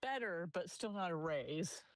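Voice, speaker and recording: A woman's voice: fairly low pitch, animated, a little slow, no echo. A woman chatting casually as a player in a tabletop role-playing game.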